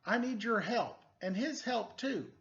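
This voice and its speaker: Man voicing an urgent high-pitched storybook question mark. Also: expressive, unhurried, fairly bright tone, lo-fi sound, full character voice. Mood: urgent pleading. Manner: urgent, emphatic. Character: agitated male question mark, pleading storybook client.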